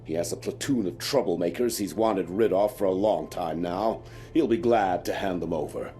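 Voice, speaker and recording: Casting: male actor for grumbling game prison warden, low and dark, pompous and sardonic, music underneath. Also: normal speed, close to an everyday voice. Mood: grudging, wry. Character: Valber, grumbling pompous prison warden man, middle-aged, fantasy. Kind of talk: fantasy video game voice acting.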